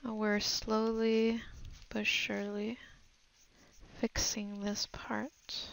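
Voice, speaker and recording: A woman's voice: fairly high pitch, completely flat delivery, drawn-out words, gravelly, low-quality recording. A woman giving a casual, unscripted voice-over art tutorial, thinking aloud in a hesitant, murmuring way as she assesses her work. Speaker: digital art tutorial narrator.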